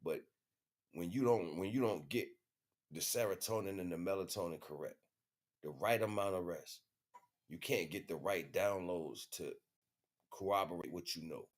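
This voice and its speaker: Male, American, low voice; loose, slangy streamer talking off the cuff, circling back on phrases. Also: moderate pace, long pauses. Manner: slangy, repetitive with frequent check-in phrases. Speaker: livestream host. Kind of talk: casual rambling monologue to viewers.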